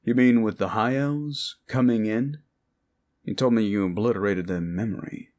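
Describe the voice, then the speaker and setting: A man's voice: on the low side, moderate pace, darkish tone, close to an everyday voice. A man playing an informal, puzzled, down-to-earth sidekick in a fantasy audio roleplay. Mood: curious, wary. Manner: informal, questioning.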